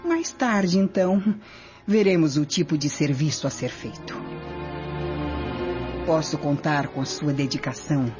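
An actress playing a vengeful, scheming Roman noblewoman in a radio drama, speaking imperiously and deliberately. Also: low voice, expressive, over music. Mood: commanding, calculating.

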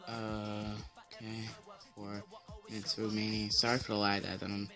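A teenage boy with a deep voice giving casual gameplay commentary as channel host, rambling with many fillers and restarts. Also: little pitch movement, normal speed, music in the background.

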